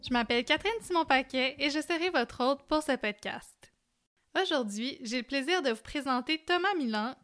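A woman hosting an interview podcast and reading out polished introductions and questions. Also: high voice. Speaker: podcast host.

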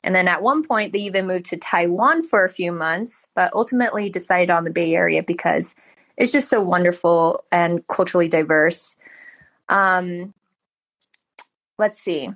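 A woman's voice: fairly low pitch, moderate pace, fairly bright tone. A woman in her thirties from California telling her life story as a podcast guest. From California, USA. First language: Mandarin Chinese. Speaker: podcast guest, startup founder. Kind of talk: conversational interview storytelling.

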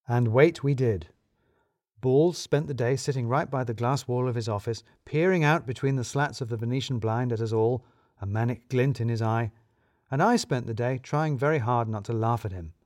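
A man's voice: mid-range voice, normal speed, a little mellow, dry recording. A man giving dry, wry, scripted first-person narration of a comic mystery. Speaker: audio drama narrator.

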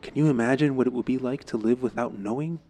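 A man playing a self-assured father with a dark, mellow voice at an ordinary male pitch. His manner is philosophical and certain, in audio drama style. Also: fast talker, lightly acted. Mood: smug certainty. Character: self-assured father lecturing on the human condition.

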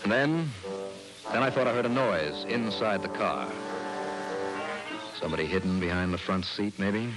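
A man's voice: on the low side, normal speed, pauses often, close to an everyday voice, poor audio. An actor playing a tough, cool-headed private investigator who tells his case in a wry, clipped, 1960s radio drama style. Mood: wry, narrating. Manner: wry, clipped, hard-boiled. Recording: old 1960s radio broadcast with music.

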